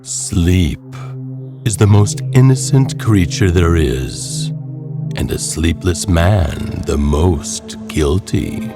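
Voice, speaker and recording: Deep, slow, dramatic male horror narrator. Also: animated, music in the background. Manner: deliberate, suspenseful, dramatic pauses. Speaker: narrator of an audio horror story.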